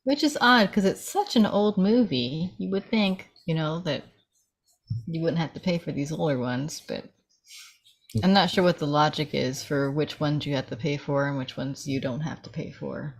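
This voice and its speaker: Very low-voiced woman in casual chat. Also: normal speed, creaky, slightly rough. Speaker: table read participant. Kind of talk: casual chat about films.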